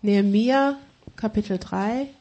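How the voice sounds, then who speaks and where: A woman's voice: mid-range voice, unhurried, warm tone, dull audio. A woman interprets a sermon as it is preached, echoing each phrase right after the speaker. She hesitates often and corrects herself mid-word. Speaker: church interpreter.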